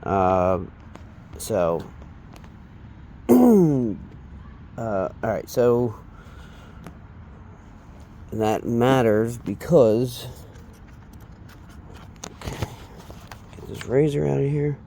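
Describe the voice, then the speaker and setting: A man giving a casual, unscripted hands-on tutorial, speaking haltingly and trailing off as he works with his hands. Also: mid-range voice, dark tone, slight background noise. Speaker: DIY installation demonstrator.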